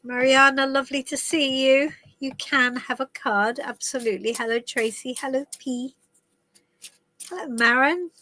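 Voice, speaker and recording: Bright-voiced woman with a head cold. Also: sits high, steady tone, normal speed, poor audio. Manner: chatty and rambling, with many ums and restarts. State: has a head cold, stuffy and coughing. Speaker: tarot reader hosting a live stream. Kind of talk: casual live-stream chat and card reading. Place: in the woods while travelling.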